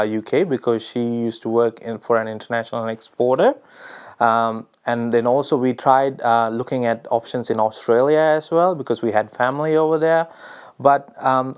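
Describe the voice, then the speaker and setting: A young man from Sri Lanka talks to camera about his own path abroad. His voice is smooth and clear at a middle pitch. He speaks in a relaxed, chatty way, dropping in plenty of ums and uhs. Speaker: immigration advice vlogger. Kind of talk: casual talk to camera.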